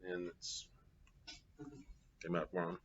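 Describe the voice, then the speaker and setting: Middle-aged man, math instructor, relaxed rambling lecture, muffled lo-fi mic. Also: sits low, takes it slowly. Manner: casual, chatty, thinking aloud with fillers. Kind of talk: live class lecture with anecdotes. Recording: dull lo-fi classroom capture.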